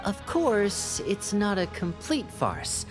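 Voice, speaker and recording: A woman voicing a young male magician agent in a video game, polite, composed and calculating, with measured speech. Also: mid-range voice, huge pitch swings. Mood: diplomatic, persuasive. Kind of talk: video game character acting. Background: game music.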